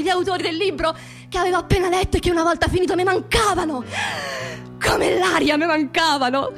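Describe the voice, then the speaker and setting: A woman with a high voice and a Roman accent tells a story as a theatrical radio monologue. Her language is colloquial and earthy, and she leans on words by saying them again and again. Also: a bit bright. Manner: emphatic repetition of words and phrases. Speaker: stage actress performing her own monologue.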